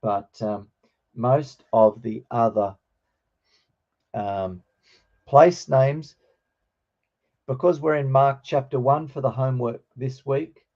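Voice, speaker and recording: A man's voice: mid-range voice, slow, long pauses. Middle-aged male teacher, dull lo-fi sound. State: slightly croaky voice. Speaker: teacher of New Testament Greek. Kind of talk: informal online lesson. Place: online video call with screen share. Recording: dull, lo-fi online call.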